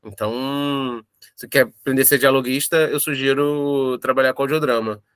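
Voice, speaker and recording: A Brazilian man explaining his craft in an unscripted interview, halting and repeating words for emphasis as he goes. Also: mid-range voice, fairly even intonation, a bit bright, clean tone, no echo. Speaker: audio drama writer.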